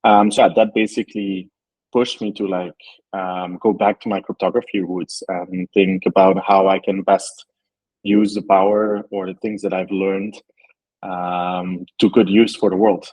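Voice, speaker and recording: A man from Belgium with a low, husky voice, explaining his work in an interview. He talks thoughtfully, with frequent ums and likes as he searches for words. The sound is dry and close. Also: flat delivery, measured pace, a little mellow, dry recording, poor audio. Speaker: startup founder. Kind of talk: interview answers.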